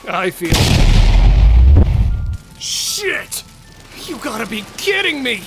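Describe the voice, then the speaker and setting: A man's voice: very high voice, sing-song, slow, heavily acted. A man playing a cautious but joking killer and robber who steadies his partner, in horror audio drama dialogue. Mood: shocked. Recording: music and effects under the voice.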